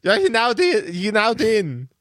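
A man's voice: high voice, huge pitch swings, a little slow, fairly bright tone, no echo, voice pushed up, heavily acted. A man voicing a chatty, flustered woman who stammers and rambles, in an improvised role-play audio game. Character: Sille, chatty flustered East German woman.

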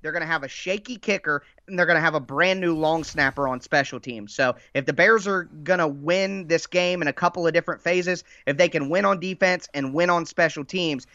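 Slightly nasal guy breaking down football, pretty high voice, kinda muffled call audio. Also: sharp tone, dry recording. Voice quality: a little nasal. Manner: earnest, detailed football analysis. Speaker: podcast guest, team podcast host. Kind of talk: casual football podcast chat. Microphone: remote call.

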